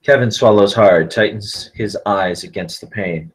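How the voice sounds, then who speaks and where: A man's voice: fairly low pitch, unhurried, rough recording. A man narrating the stage directions of a film script at a table read, in scripted present-tense narration.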